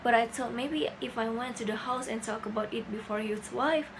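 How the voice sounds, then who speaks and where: A woman's voice: a little high, moderate pace, noisy background, natural voice. A young woman playing a plain-spoken male farmer recounting what he saw, in amateur student stage-play style. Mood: earnest recounting. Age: young adult. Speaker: student actor in a class play. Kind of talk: amateur stage-play acting, recited monologue. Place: indoors, small plain room. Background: music.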